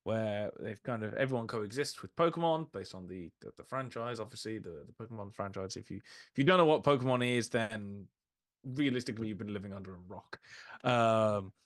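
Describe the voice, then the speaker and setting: A man hosting a casual film discussion, recapping in a rambling way with animated asides. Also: on the low side, a little fast, dry recording, clean studio sound. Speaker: podcast host.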